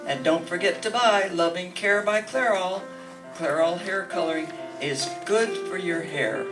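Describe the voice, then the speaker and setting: A man's voice: sits high, unhurried. A man announcing a radio-style sign-off and reading an ad. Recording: distant mic under loud music. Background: loud guitar music.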